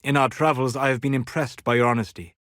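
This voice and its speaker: An actor playing a composed, scholarly elven mage in a video game, speaking in a formal, measured, quietly judgmental way. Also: male voice, mid-range voice, fairly even intonation, no room sound, studio quality, close to an everyday voice. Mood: grave, reflective. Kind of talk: video game character dialogue.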